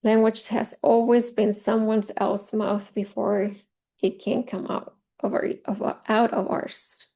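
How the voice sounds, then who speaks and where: A woman's voice: mid-range voice, level tone, measured pace, vocal fry, mellow. A woman in her forties leads an informal training session for teachers. She is a native Spanish speaker with a Spanish accent. Her mid-range voice is conversational, and she repeats phrases to drive a point home. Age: 40s. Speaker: teacher trainer leading a professional development session.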